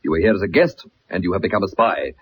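An actor playing a stern, indignant older upper-class colonel with a clipped, formal, imperious manner in a radio drama. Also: male voice, low voice, fast, a little hiss or hum, lightly acted. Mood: cold anger. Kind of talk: 1960s radio drama acting. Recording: muffled old radio broadcast.

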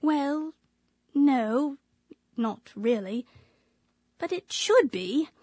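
A refined young widowed aunt in a Victorian radio drama. Her high voice moves expressively as she speaks with earnest affection, captured dry and close. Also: lively intonation, extremely slow, dark tone, no room sound, full character voice. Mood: fondly advising. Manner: refined, earnest, fondly advising. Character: affectionate thirty-year-old widowed Victorian English aunt.

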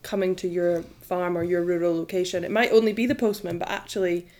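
Chatty woman, lowish voice. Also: steady tone, a little fast, a little mellow. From Edinburgh. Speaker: podcast co-host. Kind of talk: casual conversation.

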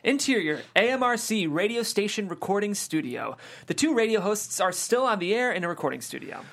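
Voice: medium pitch, very animated, brisk, creaky, a bit bright. Screenplay narrator reading directions.

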